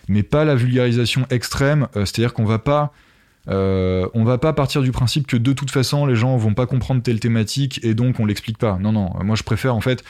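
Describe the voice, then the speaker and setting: A man's voice: medium pitch, darkish tone. A man talking casually in an interview as a podcaster, explaining his ideas conversationally with asides to the listener.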